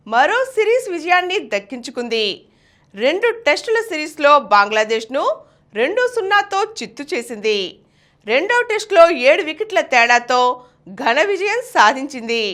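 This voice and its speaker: High-pitched woman reading news. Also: a bit bright. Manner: formal, announcing headlines and reports. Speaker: news reader.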